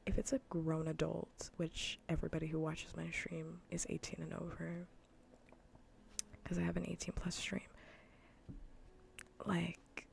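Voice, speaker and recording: Low, creaky, whispery woman streamer. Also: monotone, measured pace, pauses often, a little hiss or hum. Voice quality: soft, breathy, often whispered. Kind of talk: soft-spoken ASMR gaming livestream chat.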